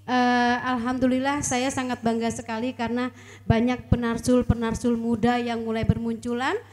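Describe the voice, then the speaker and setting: A slightly hoarse woman from East Kalimantan, a competition judge speaking hesitantly with many fillers, giving earnest advice on a mic at an outdoor stage event. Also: a little high, level tone, moderate pace, darkish tone. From Kutai Kartanegara, East Kalimantan. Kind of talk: casual live-event chat on a mic.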